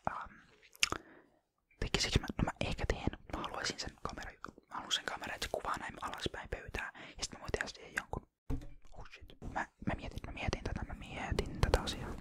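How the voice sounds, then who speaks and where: An ASMR YouTuber from Finland whispering close to the microphone while casually rambling through viewer comments. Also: fairly bright tone. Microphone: close ASMR microphone, bumped at times.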